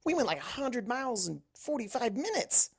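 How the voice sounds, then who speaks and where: A boastful young pegasus mare from a pony story, put on by a narrator. The delivery is casual and emphatic, pitched a bit above an ordinary voice. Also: sing-song, moderate pace, slightly rough, dry recording, studio quality. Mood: excited, boastful.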